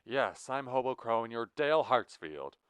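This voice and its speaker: A man's voice: mid-range voice, sing-song, normal speed, fairly bright tone, clean tone, dry recording, pitched above the performer's own voice. A man voicing a sinister, courteous audiobook villain who stresses every syllable with menacing glee. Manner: over-enunciated, stressing every syllable evenly. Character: sinister, theatrically courteous male villain, madly gleeful.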